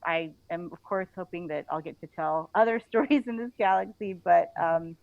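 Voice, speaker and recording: A woman speaking as a guest in a casual interview, informal and halting, often chuckling as she talks. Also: lowish voice, vocal fry, no echo, narrow, tinny audio. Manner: chuckles often while talking; informal, halting, frequent "like" and "you know".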